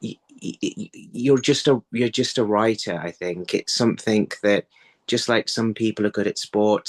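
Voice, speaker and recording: Low-voiced man, halting interview talk. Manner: halting, thinking aloud, repeats words. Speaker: writer being interviewed. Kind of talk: conversational interview answers. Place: indoor room, video call. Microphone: phone on a video call.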